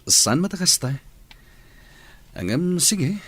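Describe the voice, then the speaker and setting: A man's voice: a little high, very animated, very slow, fairly bright tone, husky, full character voice. A man playing a mild married man torn by temptation in a radio drama, hesitant and earnest, with an Ilocano accent. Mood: hesitant, guarded. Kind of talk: Filipino radio drama acting.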